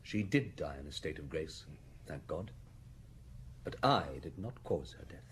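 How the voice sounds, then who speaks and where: A man's voice: a bass voice, expressive, unhurried, a little hiss or hum, heavily acted. A male actor playing a stern, pious village priest in a radio drama, speaking solemnly. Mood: solemn indignant.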